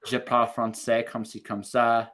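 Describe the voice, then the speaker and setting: A man hosting a podcast interview over a video call, upbeat and encouraging, talking in quick bursts. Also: mid-range voice, monotone, fairly bright tone. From Canada. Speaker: podcast host. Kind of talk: casual interview chat.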